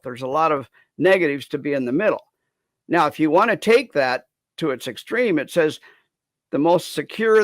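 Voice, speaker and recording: Male co-host, slightly high pitch, dry recording. Explanatory, halting delivery in live discussion. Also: dry recording.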